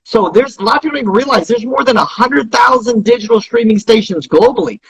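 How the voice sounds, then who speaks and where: A man with a notably high voice and a husky edge gives explanatory interview answers. He speaks emphatically, like someone advising his listeners. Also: quick pace. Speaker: interview guest, radio tracking company founder.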